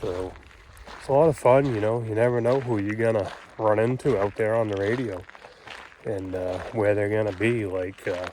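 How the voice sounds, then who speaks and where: A man's voice: lowish voice, steady tone, normal speed, mellow, slight background noise, low-quality recording. A man hosting a ham radio vlog, explaining contacts in a folksy, rambling way full of "you know" and "uh". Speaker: ham radio hobbyist and channel host.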